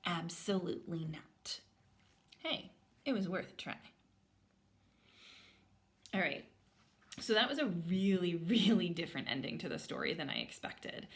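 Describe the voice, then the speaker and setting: A woman with a slightly low voice and a lively, varied pitch. She sounds like a primary school teacher, reading aloud and thinking out loud step by step for young children. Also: moderate pace, long pauses. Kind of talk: storybook read-aloud and lesson for children.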